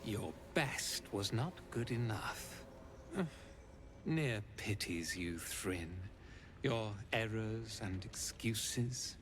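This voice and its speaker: A cold, zealous cult commander in a video game, formal and haughty. He speaks very slowly, his pitch rising and falling with contempt, over a noisy hiss in the game audio. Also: lively intonation.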